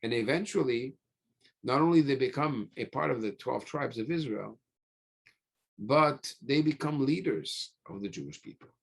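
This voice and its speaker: A man preaching as a rabbi, emphatic, with frequent pauses, repeated phrases and rhetorical questions. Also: medium pitch, lively intonation, vocal fry, breathy edge. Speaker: rabbi giving a religious lecture. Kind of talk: sermon, teaching through scripture stories.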